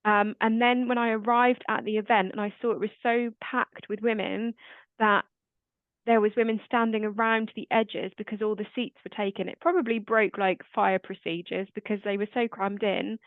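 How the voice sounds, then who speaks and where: A woman hosting a casual chat in a naturally quiet, calming voice. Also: medium pitch, normal speed, no echo. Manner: quiet, calm voice. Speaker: host. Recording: dry, tinny phone-like line.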